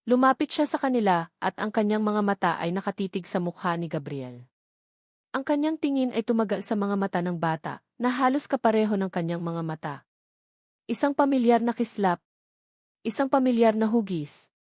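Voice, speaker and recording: A woman's voice: medium pitch, lively intonation, normal speed, a little mellow, no room sound, studio quality. A woman reading a scripted, dramatic romance story as narrator.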